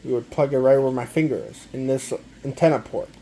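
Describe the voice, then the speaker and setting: Halting man, noisy home recording. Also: medium pitch, dark tone. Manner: halting, repetitive, step-by-step explaining. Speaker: amateur gadget demonstrator. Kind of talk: casual product walkthrough.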